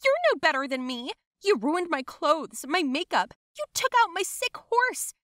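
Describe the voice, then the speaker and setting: A woman's voice: a little high, big pitch swings, normal speed, no echo, studio quality. A woman voicing a spiteful, shrieking young female cousin in a dramatic story. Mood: furious.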